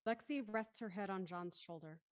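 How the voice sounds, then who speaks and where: A woman's voice: medium pitch, normal speed. Woman narrating scripted stage directions.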